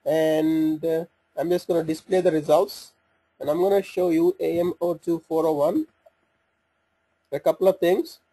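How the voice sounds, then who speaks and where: A man giving an informal step-by-step software walkthrough, speaking haltingly as he thinks aloud while working through the screens. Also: a little high, flat delivery, husky, low-quality recording. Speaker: software demonstrator. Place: voice-over for a screen recording.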